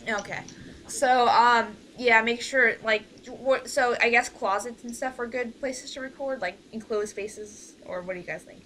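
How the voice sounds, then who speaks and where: A woman with a fairly high voice leads a casual group meeting over an online call. She talks hesitantly and rambles, often trailing off. There is faint noise in the amateur recording. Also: fairly even intonation, unhurried, fairly bright tone, poor audio. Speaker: director leading a voice cast meeting.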